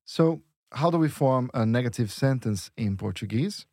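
Male language teacher, patient, step-by-step explanations, medium pitch, dry close studio sound. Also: animated, normal speed, a little mellow, no room sound. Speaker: Portuguese teacher. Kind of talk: language lesson dialogue.

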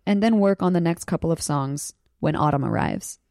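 An excitable young woman from Southeast Alaska chats on a podcast and shares trivia with gleeful enthusiasm. Her voice sits on the low side, and the sound is close and dry with no room echo. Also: moderate pace, creaky. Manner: excited, storytelling, breaks into laughter. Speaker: podcast guest. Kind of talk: casual chat.

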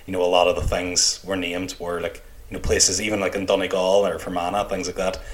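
A man's voice: sits low, monotone, brisk. A man with a Northern Irish accent gives his opinions in casual book club talk, hesitantly and in loosely padded phrases. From Northern Ireland. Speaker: podcast co-host.

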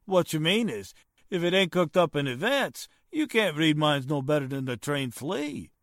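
An actor playing a rough, suspicious private detective with streetwise, ungrammatical talk in a 1940s-style radio drama. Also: male voice, fairly high pitch, big pitch swings, normal speed, dry recording, studio quality. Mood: sneering, suspicious. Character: blustering, uneducated male private detective, suspicious tough guy.

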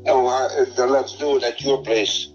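A man's voice: medium pitch, steady tone, moderate pace. A man on a phone call, hesitant and thinking aloud while he speaks. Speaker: phone caller. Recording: muffled phone call with faint music.

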